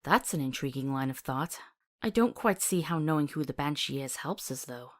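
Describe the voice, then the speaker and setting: A woman playing a compassionate, sharp-tongued companion to a monster hunter in a fantasy visual novel, earnest and articulate. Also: sits low, lively intonation, normal speed. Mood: doubtful.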